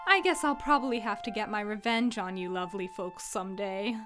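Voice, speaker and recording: A woman's voice: medium pitch, brisk, loud music behind the voice, lightly acted. A woman playing a flippant, roguish villainess in a fantasy audio drama, mock-sweet and sing-song. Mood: playful taunting.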